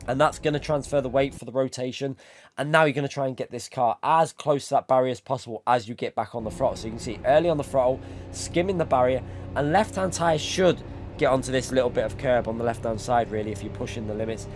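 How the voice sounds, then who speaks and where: Guy with a cold and voice going, talking quick thru a racing game guide, music under him. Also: mid-range voice, steady tone. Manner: instructional, step-by-step explaining. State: losing his voice from a cold. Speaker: sim racing YouTuber, host. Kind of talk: tutorial commentary over racing game footage.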